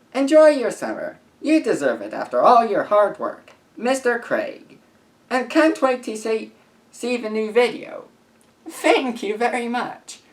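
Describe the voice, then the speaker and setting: Male, late teens, video creator. Highly varied intonation, earnest and careful, slightly halting casual read. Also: gravelly, a bit bright. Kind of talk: casual vlog, reading messages aloud.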